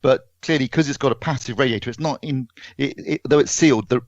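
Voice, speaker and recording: A man's voice: medium pitch, fast, no room sound, dull audio. A British man co-hosting a podcast, talking through a product review casually and correcting himself as he explains.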